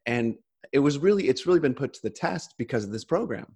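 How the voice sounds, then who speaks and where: A man's voice: medium pitch, lively intonation, quick pace, a little mellow, slightly rough. A man in casual conversation, talking earnestly with frequent restarts.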